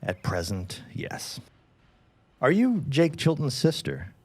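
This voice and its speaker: An actor playing a gentle, troubled middle-aged father in a period audio drama, formal and mildly droll in manner. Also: mid-range voice, huge pitch swings, slow pace, breathy edge, no room sound, exaggerated voice. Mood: courteous.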